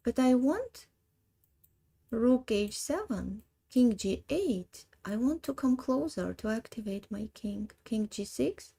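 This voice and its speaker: Armenian woman in her 30s, chess streamer, slow halting delivery, dark gravelly tone. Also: on the high side. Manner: thinks aloud, slow halting English. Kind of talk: live chess puzzle stream.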